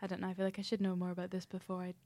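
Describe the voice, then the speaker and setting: A young woman from Scotland with a mellow Scottish accent, chatting casually and in a rambling, giggly way as a podcast co-host. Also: fairly low pitch, monotone, racing, no echo. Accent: soft Scottish. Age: young adult. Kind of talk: casual chat.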